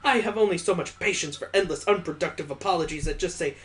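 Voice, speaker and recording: A man's voice: a little high, fast, a bit bright, natural voice. Man voicing a brusque, motherly teapot lady. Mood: sharp, impatient. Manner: addresses listener as dear, frank.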